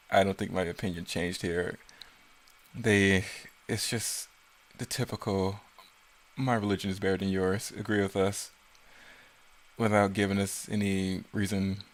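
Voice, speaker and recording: A man giving casual, self-critical, rambling commentary on his own creative work. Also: deep voice.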